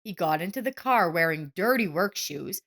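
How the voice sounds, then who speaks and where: A woman's voice: on the low side, lively intonation, normal speed, fairly bright tone. A woman narrator reading a scripted story.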